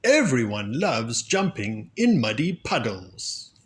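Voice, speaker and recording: A man giving an expressive storybook narration for children, with deliberate, animated phrasing. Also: medium pitch. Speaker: storybook reader, children's read-aloud channel.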